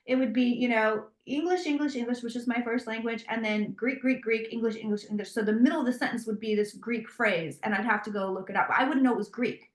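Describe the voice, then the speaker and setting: A woman who reads tarot, telling stories in an animated, conversational way with frequent restarts. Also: fairly high pitch, quick pace, hardly any pauses, roomy sound. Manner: animated storytelling with many restarts. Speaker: psychic tarot reader. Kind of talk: interview conversation.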